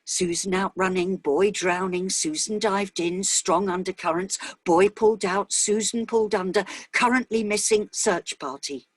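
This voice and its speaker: A woman's voice: medium pitch, steady tone, measured pace, few pauses, slightly rough, no echo. A woman voicing a troubled, anxious wife and mother in a short story reading. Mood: shocked, halting.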